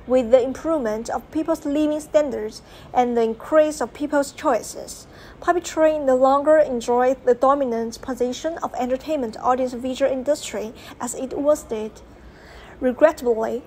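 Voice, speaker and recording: Young female narrator, high voice, careful scripted documentary read, with some background noise. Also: a little mellow. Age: young adult.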